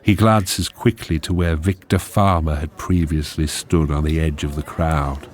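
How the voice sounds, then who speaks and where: An actor as a measured, deliberate narrator reading screen directions in a radio drama. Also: male voice, rumbling low, fairly even intonation. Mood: measured, suspenseful. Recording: music behind the narration.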